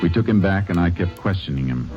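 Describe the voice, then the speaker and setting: An actor playing a stern, methodical Texas Ranger with a clipped, probing delivery in a 1950s radio crime drama. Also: male voice, low-pitched, animated, fast, music in the background. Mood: matter-of-fact. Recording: muffled, hissy vintage radio recording.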